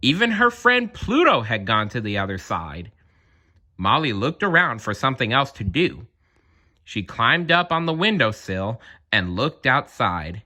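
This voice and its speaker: Man, children's read-aloud, expressive storytelling, highly animated intonation, bright, medium pitch. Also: unhurried. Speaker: storybook reader.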